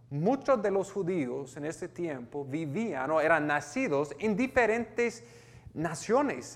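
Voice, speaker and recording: Pastor with an American accent, slightly higher voice than most men, earnest, stumbling through some words, with a long hall echo. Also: male voice. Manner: earnest, with restarts and self-corrections. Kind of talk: sermon, reading and explaining scripture.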